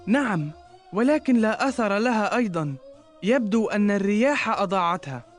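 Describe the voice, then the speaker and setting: Woman voicing an animated, earnest young boy. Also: medium pitch, a little fast, faint music behind, lightly acted. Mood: worried, downcast. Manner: earnest, expressive. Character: earnest, polite young schoolboy, easily downcast. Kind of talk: cartoon dialogue in formal Arabic.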